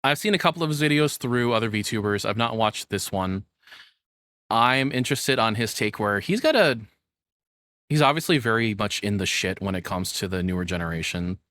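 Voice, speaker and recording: Low-voiced young man, casual reaction streamer. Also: animated, moderate pace, a bit bright, dry recording, studio quality. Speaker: VTuber streamer reacting to a video.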